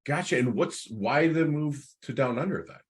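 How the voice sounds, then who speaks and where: A man hosting a podcast, excitable and rambling, stumbling over his words as he asks questions. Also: medium pitch, normal speed. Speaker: podcast host. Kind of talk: casual interview.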